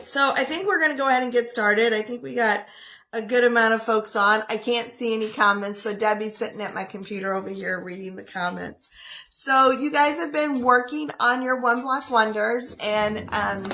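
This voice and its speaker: A woman's voice: fairly high pitch, a bit bright. A woman with a scratchy voice and a slight cough, teaching a casual quilting lesson from her home sewing studio in a chatty, folksy way. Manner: chatty, folksy, talks to her dog between steps. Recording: echoey room.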